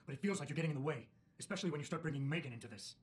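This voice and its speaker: An actor playing a terse, wary, stubbornly dutiful security chief in a video game, blunt and suspicious. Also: male voice, a little high, lively intonation, rapid-fire, natural voice. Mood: challenging.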